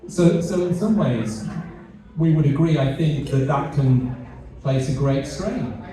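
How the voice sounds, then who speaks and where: A man's voice: on the high side, lively intonation, dark tone. A middle-aged British man giving a fundraiser speech. He repeats words and pokes fun at himself. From Britain. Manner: halting, repeats words, self-deprecating. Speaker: charity CEO giving a speech. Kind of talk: fundraiser speech with light humour. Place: large event hall. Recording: echoey hall, mic heard from a distance.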